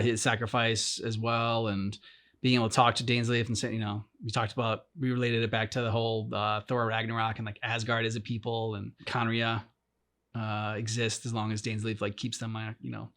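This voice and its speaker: A man with a mid-pitched voice gives casual reaction commentary. He meanders and hesitates, piecing thoughts together as he goes. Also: little pitch movement, moderate pace, bright, forceful tone. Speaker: reaction video host. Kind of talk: casual chat, game commentary.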